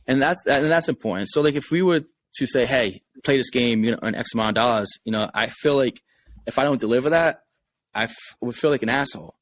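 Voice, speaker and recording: A man's voice: medium pitch, a little fast, fairly bright tone, a little hiss or hum. A man with a Rhode Island accent, a game creator talking casually and earnestly on a podcast, often repeating words as he thinks. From Rhode Island, USA.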